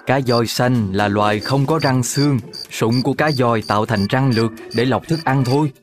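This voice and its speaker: An excitable, knowledgeable dog father, acted by a man, talks in a medium-pitched voice. He explains things in a fatherly, children's cartoon manner. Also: moderate pace, soft background music, lightly acted. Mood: explaining.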